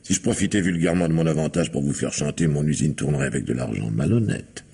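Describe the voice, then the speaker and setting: A man's voice: extremely low pitch, animated, fast talker, gravelly, warm tone, slightly rough, exaggerated voice. An actor playing a suave, domineering blackmailing businessman in a radio mystery, mock-courteous and imperious. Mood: suave, menacing. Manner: mocking mock-courteous, imperious when irritated. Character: sinister, suave, domineering blackmailing factory owner. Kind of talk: French radio mystery drama acting.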